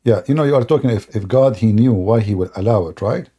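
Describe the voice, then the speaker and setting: A man, hosting a live-streamed religious debate and explaining slowly with many fillers and restarts. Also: lowish voice, dark tone, husky. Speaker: debate host.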